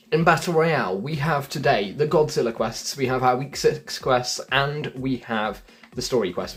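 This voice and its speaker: Gaming guy with a husky voice, chatty walkthrough commentary, calls everyone folks. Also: medium pitch. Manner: chatty, addresses viewers as folks and guys. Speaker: gaming channel host.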